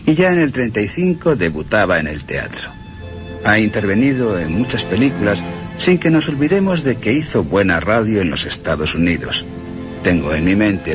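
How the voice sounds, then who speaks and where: A man presenting a scripted radio narration about cinema and literature in a dramatic storytelling way. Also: fairly low pitch. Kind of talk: scripted film-history narration with dramatic readings.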